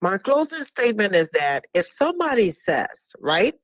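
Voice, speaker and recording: A woman's voice: mid-range voice, big pitch swings, moderate pace, no echo, poor audio. A woman from Liberia calling in to a radio talk show, insistent and repetitive, talking over the host. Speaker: caller. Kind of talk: call-in radio debate.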